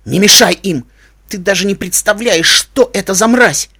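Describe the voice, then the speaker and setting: A man's voice: on the high side, lively intonation, a little fast. A man voicing a young female unicorn, earnest and fiery, with an emotional, emphatic delivery for a storybook. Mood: fierce.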